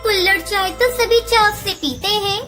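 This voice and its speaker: Chirpy cartoon sparrow, extremely high childlike pitch, eager, emphatic, music underneath. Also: female voice, normal speed, bright, forceful tone. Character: cheerful young female sparrow, chirpy and eager. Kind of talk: Hindi children's cartoon acting.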